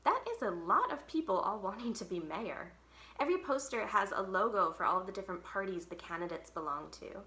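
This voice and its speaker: A woman's voice: medium pitch, animated, brisk, sharp tone, echoey room. A woman reading a children's book aloud expressively, leaning on key words with dramatic emphasis. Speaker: library read-aloud storyteller.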